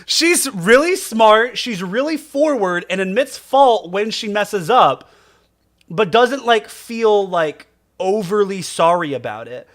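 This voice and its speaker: A man doing comedic live commentary over a game, talking in an excitable, effusive, exclamatory way. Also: high voice, takes it slowly. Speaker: game streamer.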